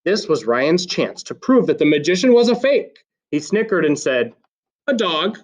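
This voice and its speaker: A man reading a children's story aloud like a teacher, with expressive, deliberate emphasis. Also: fairly high pitch. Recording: slightly dull, lo-fi sound.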